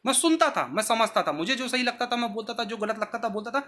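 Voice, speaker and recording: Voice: mid-range voice, lively intonation, quick pace, sharp tone. A person speaking earnestly and insistently to viewers, justifying themselves point by point. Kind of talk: earnest explanatory address to viewers.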